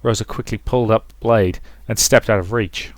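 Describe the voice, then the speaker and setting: A man's voice: on the low side, steady tone, brisk, no echo. A man reading a visual novel aloud as dramatic storybook narration for a let's play.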